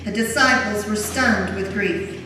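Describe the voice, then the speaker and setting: A woman reading as the solemn narrator of a Gospel drama, in formal, measured storytelling. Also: mid-range voice, close to an everyday voice. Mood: solemn narration. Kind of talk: scripted dramatic scripture narration. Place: large church during a service. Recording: very echoey church hall, distant mic, noisy.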